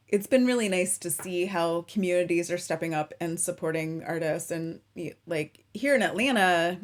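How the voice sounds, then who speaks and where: A woman hosting a casual interview, chatty and easygoing, asking long rambling questions. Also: fairly low pitch. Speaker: interview host.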